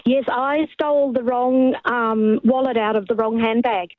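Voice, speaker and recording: Brisk woman caller, amused anecdote. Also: fairly high pitch, fairly even intonation, dry recording, phone-quality sound. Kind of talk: phone-in anecdote.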